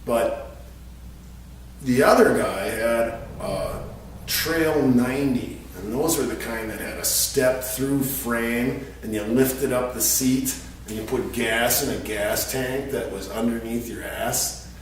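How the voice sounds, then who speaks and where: A man's voice: medium pitch, slow pace. A middle-aged man casually telling a story in a colloquial, crude, joking way, recorded in a garage on a camera several feet away. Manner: colloquial, crude humor, chuckles as he tells it. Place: garage workshop, indoors. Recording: echoey, distant camera mic with faint noise.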